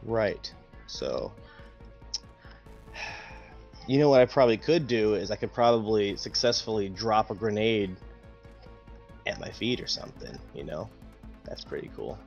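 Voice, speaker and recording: A man's voice: on the low side, lively intonation, measured pace, vocal fry, long pauses, darkish tone. A man playing a tabletop roleplaying game, chatting casually as he works out his character's moves. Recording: dull lo-fi audio with background music. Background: music.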